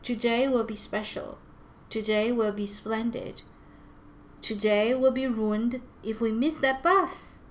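A woman's voice: a little high, steady tone, takes it slowly, a little hiss or hum, voice pushed up, poor audio. A woman voicing a loving mother in a picture book, dramatic, easily flustered and reassuring. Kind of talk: children's picture-book read-aloud.

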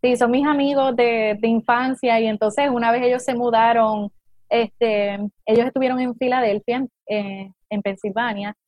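A woman with a Puerto Rican accent in a casual chat, pausing often with fillers. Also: fairly high pitch, steady tone, dry recording, highs cut off. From Puerto Rico. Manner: hesitant, many fillers. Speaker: guest.

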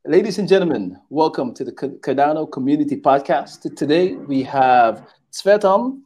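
A man hosting a podcast, introducing things informally in a careful, halting way. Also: fairly high pitch, lively intonation, a little mellow. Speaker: podcast host.

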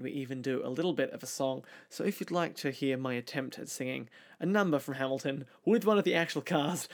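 A man's voice: mid-range voice, gravelly. A man hosting an interview show, introducing guests and asking prepared questions. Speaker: podcast host and interviewer.

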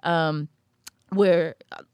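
A woman's voice: low voice, fairly even intonation, very slow, darkish tone, smooth, no echo. A woman from Hawaii talking casually and animatedly in a podcast conversation, with many fillers. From Hawaii, United States.

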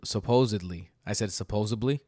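Young male co-host, animated and profane, dry close sound. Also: lowish voice, fairly quick, no room sound. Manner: animated, swearing, laughs often. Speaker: podcast co-host. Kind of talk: casual fantasy sports chat.

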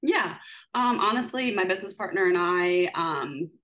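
A woman's voice: lowish voice, expressive, normal speed, a bit bright. A woman guest on a podcast, speaking in friendly casual conversation with many fillers. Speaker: podcast guest, apparel company owner. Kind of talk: casual interview.

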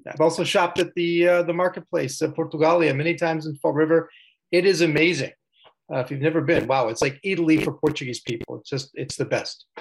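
A man's voice: on the high side, poor audio. A man from the United States introducing himself on a virtual panel, enthusiastic and chatty. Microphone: video call.

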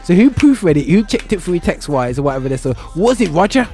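A man's voice: high voice, big pitch swings, a little fast, dark tone, soft background music. A man hosting a casual talk show, laid-back, laughing often and using British slang. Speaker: show host. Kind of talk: casual podcast chat.

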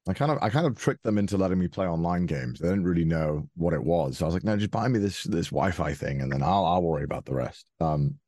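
Deep-voiced young man chatting. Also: lively intonation, fast, hardly any pauses, dark tone, no room sound, clean studio sound. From Wales. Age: 20s. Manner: hesitant, many restarts and fillers, laughs often. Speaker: guest. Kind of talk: casual interview chat.

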